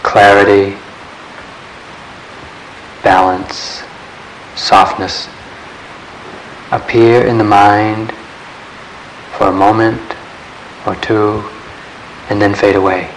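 A man leading a guided meditation in a calm, measured way, leaving long pauses between short phrases. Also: lowish voice, rough recording. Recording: steady background hiss.

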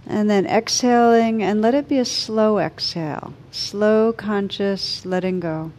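A woman leading a guided meditation as a teacher, speaking slowly and soothingly with gentle pauses. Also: medium pitch, steady tone.

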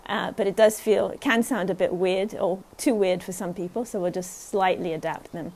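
A woman meditation teacher giving a gentle, unhurried talk at a retreat, often checking in with her listeners. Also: mid-range voice, steady tone.

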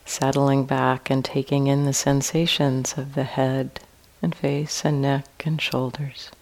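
A woman teaching a guided meditation in a calm, gentle manner with long pauses between phrases. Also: a bass voice, poor audio.

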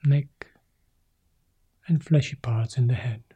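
Monk guy guiding meditation, super slow, soft and half whispered, deep mellow tone. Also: husky, highs cut off. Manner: soft, slow phrases, often sinking to a whisper. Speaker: Buddhist monk leading meditation. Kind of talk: guided meditation.

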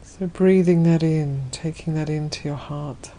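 A woman leading a guided meditation as a Buddhist nun, speaking gently in unhurried, spacious phrases. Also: sits low, fairly even intonation.

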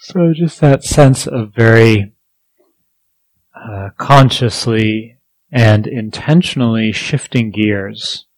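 A man with a fairly low voice leads a guided meditation. He speaks slowly and gently, leaving space between phrases as a teacher would. The sound is dry, with very little room echo.

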